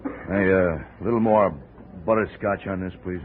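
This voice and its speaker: Man voicing a broke small-time gumshoe, deep voice, street slang, tinny old radio sound. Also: steady tone, normal speed, close to an everyday voice. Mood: wheedling. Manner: chatty street slang, keeps saying "see". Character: down-on-his-luck small-time male private eye. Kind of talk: 1950s radio drama acting. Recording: tinny old radio broadcast, faint hiss.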